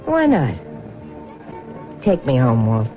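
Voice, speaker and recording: An actress playing a greedy, scheming wife with a sly, slangy, drawling manner in a 1970s radio drama. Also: female voice, very deep voice, huge pitch swings, takes it slowly, narrow, tinny audio, over music, exaggerated voice. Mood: greedy, calculating. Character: greedy, scheming, unfaithful wife, hard-boiled and brassy. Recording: thin old radio broadcast.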